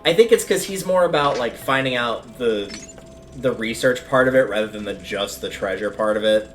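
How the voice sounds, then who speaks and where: A man's voice: mid-range voice, moderate pace, fairly bright tone, faint music behind. A man livestreaming a video game, giving casual, rambling commentary as he thinks aloud.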